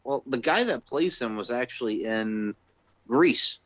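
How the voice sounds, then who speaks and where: An American man co-hosting a casual podcast. He is laid-back, swears offhandedly and rattles off film trivia. His voice is mid-pitched, recorded dry and close. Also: animated, measured pace, a bit bright, dry recording. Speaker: podcast co-host.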